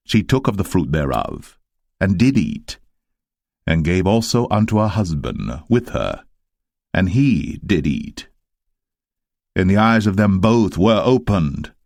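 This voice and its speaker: Deep-voiced man narrating scripture. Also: a little mellow, dry recording, clean studio sound. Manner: solemn, measured.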